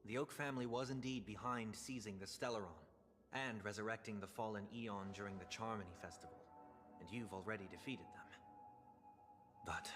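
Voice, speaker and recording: A man playing a soft-spoken, formal and solemn young gentleman in a video game, speaking in a measured way. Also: on the low side, close to an everyday voice. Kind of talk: dramatic video game dialogue acting.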